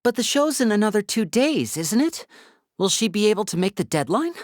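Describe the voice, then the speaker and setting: A woman's voice: medium pitch, big pitch swings, fairly quick, dry recording, lightly acted. A woman playing a suave, polite young male stage magician in a video game, with a courteous, theatrical, self-assured manner. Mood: concerned.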